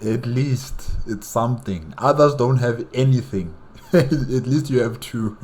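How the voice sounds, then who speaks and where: Guy reacting to a video, laid back, talks slow. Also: medium pitch, a little mellow. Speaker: reaction channel host. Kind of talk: casual reaction commentary.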